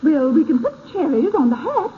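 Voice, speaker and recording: A woman's voice: sits high, big pitch swings, moderate pace. An actress playing a patient, courteous hat shop saleswoman, coaxing a customer in a 1930s radio comedy. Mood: obliging. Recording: tinny, hissy 1930s radio broadcast.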